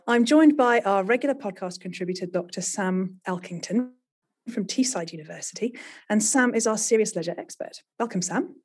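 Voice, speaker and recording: Podcast host, woman, slightly husky, measured scripted intro delivery. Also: mid-range voice, darkish tone.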